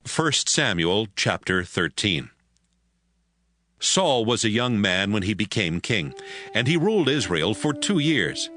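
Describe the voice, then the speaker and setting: A man's voice: on the low side, big pitch swings, slow pace, sharp tone. A man narrating scripture from a script, as the narrator of an audio drama. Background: music.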